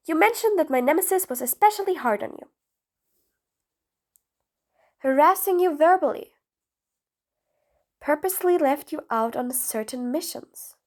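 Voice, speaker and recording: A woman's voice: sits high, animated, normal speed. A woman playing a sly, impatient supervillain in a scripted audio roleplay, speaking in a theatrical, mocking way with formal, wordy phrasing. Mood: curious, probing.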